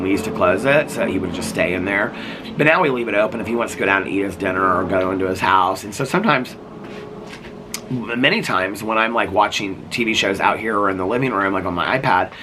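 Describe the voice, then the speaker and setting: A man's voice: fairly low pitch, noisy background. A middle-aged man vlogging casually while sick, with a cough and a voice that is going. He rambles, filling his talk with "like" and restarts, sitting outdoors. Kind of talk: casual vlog chat.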